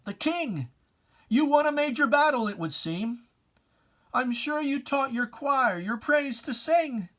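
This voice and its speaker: A man's voice: high voice, fairly bright tone. A man giving a dramatic reading of religious verse in an emphatic, declamatory, theatrical manner. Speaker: poetry reader.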